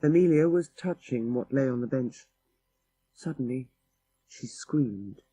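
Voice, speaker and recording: A man's voice: medium pitch, animated, measured pace, mellow, breathy edge. A man narrating a literary ghost story for an audiobook in a dramatic style.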